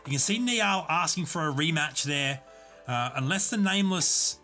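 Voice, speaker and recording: A man casting a live online game tournament, giving steady, explanatory play-by-play. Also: on the high side, normal speed, music in the background. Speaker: esports caster and streamer. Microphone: desk USB condenser mic.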